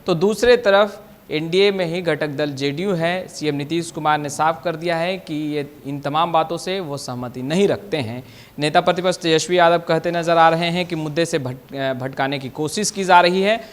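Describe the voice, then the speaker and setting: A man's voice: a little high, normal speed. A man working as a news reporter, setting out a political story and putting questions to a guest. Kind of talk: news introduction and interview questions.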